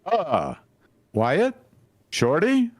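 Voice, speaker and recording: A man's voice: a little high, huge pitch swings, extremely slow, no echo, full character voice. A man voicing an anxious, melodramatic professor in a fan fiction reading. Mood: hesitant. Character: dramatic, anxious male scientist professor in a cartoon story. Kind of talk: fan fiction read aloud in character voices.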